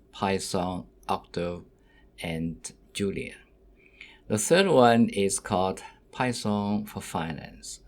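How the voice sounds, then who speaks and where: A middle-aged man who is not a native English speaker, lecturing to students in halting, simple phrases and often checking with an okay. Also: low-pitched. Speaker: university lecturer.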